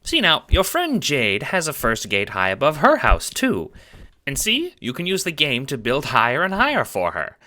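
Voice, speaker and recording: A man's voice: mid-range voice, huge pitch swings, normal speed, pushed and bright, pitched above the performer's own voice. A man voicing a kindly, chipper old grandmother in an audio drama, doting and full of old-fashioned endearments.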